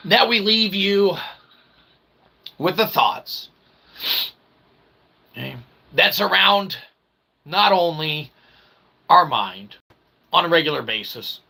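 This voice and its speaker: Creaky, higher-voiced man rambling philosophically. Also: animated, slow pace, long pauses, sharp tone. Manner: mock-formal, wordy, archaic turns of phrase; constantly tags phrases with "okay?". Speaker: host of own channel, independent author and developer. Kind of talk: rambling philosophical monologue to viewers.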